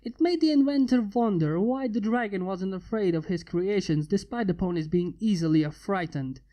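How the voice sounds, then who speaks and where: A man's voice: high voice, moderate pace, mellow. A man reading a fan fiction story aloud as scripted narration.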